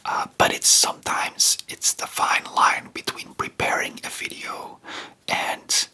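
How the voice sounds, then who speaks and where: A man's voice: unhurried, bright, forceful tone. Man whispering rambling ASMR chat. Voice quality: breathy unvoiced whisper. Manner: rambling, hesitant, spontaneous. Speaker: ASMR video host.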